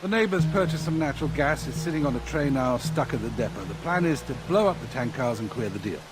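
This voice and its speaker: A man playing a rebel faction officer in a video game, giving a measured, matter-of-fact briefing. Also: mid-range voice, lively intonation, loud music behind the voice, natural voice. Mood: businesslike. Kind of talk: video game cutscene acting. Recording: clear outdoor ambient noise.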